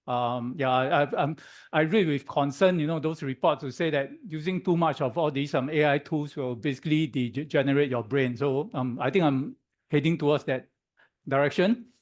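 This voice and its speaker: A hoarse man presenting at a meetup in an office room, pitched a little high for a man. He pauses often on "um" and keeps tossing "okay?" to the room as he explains. The voice sounds strained. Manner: halting, many "um"s, keeps checking in with "okay?". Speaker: guest speaker at a tech meetup. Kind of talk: conference presentation.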